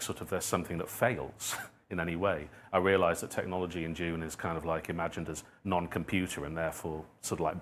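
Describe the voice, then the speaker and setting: A man's voice: low-pitched, slight background noise. A man answering questions at an informal public talk as a writer, thinking aloud and often rephrasing his thoughts. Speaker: guest speaker, writer and playwright.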